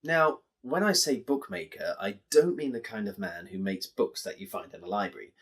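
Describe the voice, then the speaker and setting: A man with an English accent telling a story to children in an expressive, explanatory way, addressing listeners directly. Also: on the low side, moderate pace, husky.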